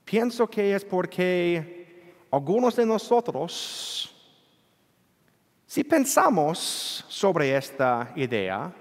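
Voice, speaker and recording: Slow, lively-voiced priest in echoing church. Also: male voice, sits high. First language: English. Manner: earnest, unhurried, with frequent self-deprecating chuckles. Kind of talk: bilingual homily. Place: church during evening Mass. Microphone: church sound system, livestreamed. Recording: long church-hall reverb.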